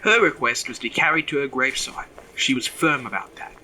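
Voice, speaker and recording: A man's voice: mid-range voice, a little fast, sharp tone, a little hiss or hum, low-quality recording. A man playing an earnest, uneasy mourner in an audio drama who wants last wishes honored. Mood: insistent, worried.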